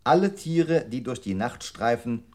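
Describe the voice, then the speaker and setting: Computer reciting unit, radio play: earnest, formal, declamatory, strongly varied melody. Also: male voice, medium pitch, sing-song, measured pace, darkish tone. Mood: logical, emphatic. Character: male computer memory unit, earnestly reciting processed verse. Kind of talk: formal recitation, 1960s German radio drama.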